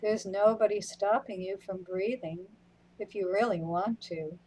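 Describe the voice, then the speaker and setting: A woman playing a wry, weary companion in a radio play, speaking in a deliberate way. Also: medium pitch, mellow, slight background noise, natural voice, poor audio. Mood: wry, unruffled. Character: unhurried, matter-of-fact companion, wry and weary.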